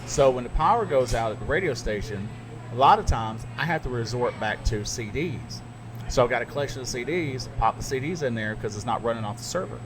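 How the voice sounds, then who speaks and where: A middle-aged man, a radio DJ, chatting casually and telling stories with animated asides. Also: medium pitch, fairly quick. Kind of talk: casual podcast chat. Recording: noisy background.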